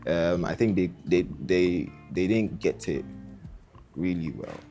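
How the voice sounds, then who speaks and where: A man's voice: deep voice, takes it slowly, music in the background. A young man from Ghana, a musician guest talking in a laid-back, hesitant way and often repeating words. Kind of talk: casual interview chat.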